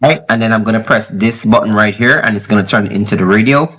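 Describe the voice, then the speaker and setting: A man talking casually to camera as he reviews gear at home, explaining haltingly and repeating phrases for emphasis. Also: lowish voice, a bit bright, husky. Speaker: product reviewer. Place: child's bedroom at home.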